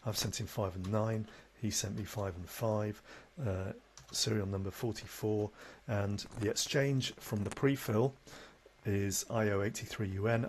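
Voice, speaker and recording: A man with a fairly low voice talks through a screen-recorded software tutorial. His delivery is instructional but conversational and unscripted, and there is some faint background noise on the recording. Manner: instructional, conversational, with tag questions.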